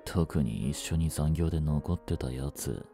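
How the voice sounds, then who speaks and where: A young man acting a jealous, possessive yandere boyfriend in a romantic voice drama, with a soft, coaxing, controlling manner that is sweetly menacing. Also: a bass voice, measured pace, soft background music. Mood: seething jealousy. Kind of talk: Japanese romantic situation voice drama.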